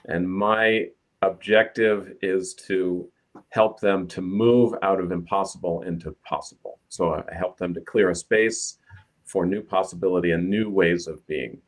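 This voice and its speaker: A man from the United States speaks as a guest life coach on a video call. His voice is very deep, and he explains things in a calm, measured way. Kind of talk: explanatory chat.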